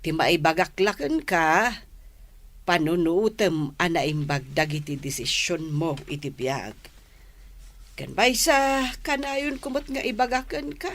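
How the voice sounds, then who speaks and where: Slow, grave older Ilocano mother. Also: on the low side. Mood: solemn advising. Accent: Filipino, Ilocano. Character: older mother, grave, patient, advising her daughter. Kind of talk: Ilocano radio drama acting.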